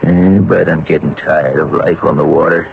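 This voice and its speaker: Gravelly, blustering old-radio sailor. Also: male voice, a bass voice, fairly even intonation, moderate pace, narrow, tinny audio. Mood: wistful, loyal. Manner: folksy, blustering working-man talk. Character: gruff, boastful, suspicious Egyptian sailor devoted to his queen. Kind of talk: 1970s radio drama acting. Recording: band-limited, noisy old radio recording.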